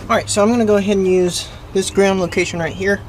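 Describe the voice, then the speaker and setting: A man's voice: sits high, steady tone, fast talker, loud music behind the voice. A man hosting a how-to video, talking through a hands-on job in a casual, plain-spoken, explanatory way. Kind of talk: casual hands-on DIY tutorial.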